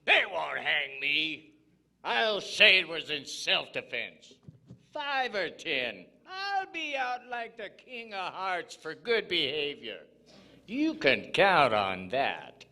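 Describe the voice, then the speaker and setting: Man playing greedy cocky murderer, high-pitched, sharp bright tone, old radio melodrama. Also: wildly swooping pitch, slow pace, full character voice. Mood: smug, defiant. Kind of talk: 1940s radio drama acting.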